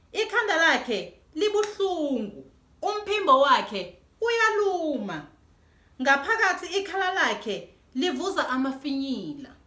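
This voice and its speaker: A woman reading a children's storybook aloud in an animated way as host. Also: sits high. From South Africa. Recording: echoey room.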